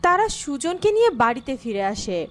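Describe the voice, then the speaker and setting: High, animated woman narrating a fairy tale. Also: moderate pace, soft background music.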